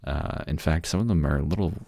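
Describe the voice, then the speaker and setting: A man with an extremely low, gravelly voice chats casually through an ASMR demo. He rambles in a self-deprecating, unhurried way, recorded close and dry. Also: dark tone, no room sound. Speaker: voice actor giving samples.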